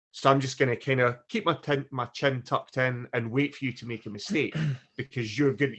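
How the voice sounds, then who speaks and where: Man from britain, podcast co-host, lively explainer, medium pitch. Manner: animated storyteller, acts out quotes. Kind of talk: casual conversation.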